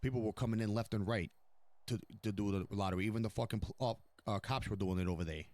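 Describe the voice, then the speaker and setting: A man's voice: lowish voice, fast talker, darkish tone, no room sound, lightly acted. A man playing a stern, blunt, profane mafia boss in an improvised online game roleplay. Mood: firm, businesslike. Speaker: streamer role-playing a crime family boss.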